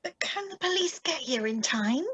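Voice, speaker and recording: An actress playing a frightened, fretful wife in an old-style radio thriller, anxious and pleading. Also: female voice, sits high, animated, moderate pace, a bit bright. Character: frightened, anxious young wife under siege. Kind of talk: 1940s-style radio drama acting. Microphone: video call. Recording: noisy, clicky home video-call audio.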